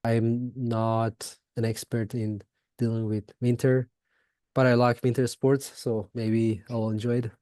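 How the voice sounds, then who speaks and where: A young man from Iran chats as a podcast guest, pausing often with fillers and restarts. His voice is mellow and fairly flat in tone. The recording is dry and close. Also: medium pitch, dry recording. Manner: hesitant, many fillers and restarts. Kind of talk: casual interview chat.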